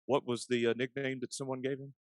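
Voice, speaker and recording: A man who works as an arena announcer, answering interview questions in a measured, explanatory, conversational way. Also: mid-range voice, level tone, no echo, studio quality.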